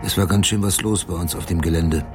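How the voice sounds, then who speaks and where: A man's voice: low voice, steady tone, fairly quick, close to an everyday voice. An actor playing a troubled man who recounts events in terse fragments in a radio drama, with music underneath. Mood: grim recounting. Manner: terse, fragmentary first-person narration. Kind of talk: German radio drama monologue.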